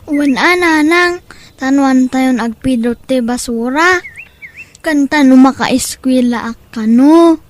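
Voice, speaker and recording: A woman's voice: high voice, slow pace. A woman playing a poor, dutiful and earnest young boy in an Ilocano audio drama. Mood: obedient, tender. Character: poor, dutiful young boy who sings and scavenges trash.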